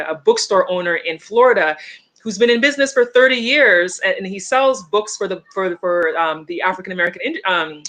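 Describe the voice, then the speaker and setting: Bright, chatty woman host for a live-streamed interview show. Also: mid-range voice. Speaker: podcast host.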